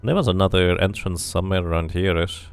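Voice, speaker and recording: A man's voice: low-pitched, fairly quick, smooth, faint music behind. A man doing casual playthrough commentary, musing to himself and reading game text aloud. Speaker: video game streamer.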